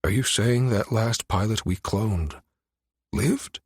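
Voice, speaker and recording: A man's voice: fairly low pitch, slow pace, slightly rough, no room sound, clean studio sound. Man voicing a blustering older scientist, indignant. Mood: shocked. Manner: blustering, demanding. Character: older male scientist, stern, indignant, easily rattled.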